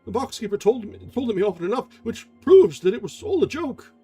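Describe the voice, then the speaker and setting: A man's voice: high-pitched, sing-song, brisk, warm tone, husky, pitched above the performer's own voice, full character voice. A man voicing a nervous male theatre inspector who stammers and pleads while explaining himself. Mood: flustered.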